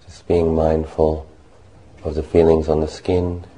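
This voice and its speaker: Very deep, dark-voiced man guiding meditation. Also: monotone, poor audio. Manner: gentle, unhurried, long pauses between short phrases. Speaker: Buddhist monk leading a guided meditation. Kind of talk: slow guided meditation.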